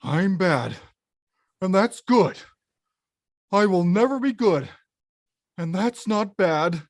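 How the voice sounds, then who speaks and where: A woman voicing a big-hearted, earnest bad guy brute in an animated film table read, speaking in an unhurried way. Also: mid-range voice, no room sound, clean studio sound. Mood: solemn resolve.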